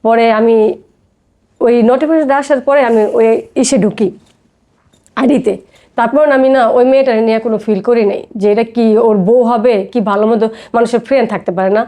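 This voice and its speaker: A woman's voice: on the high side, steady tone, fairly quick, warm tone. A woman with a Bangladeshi accent telling her personal story casually as a guest, often acting out quoted dialogue.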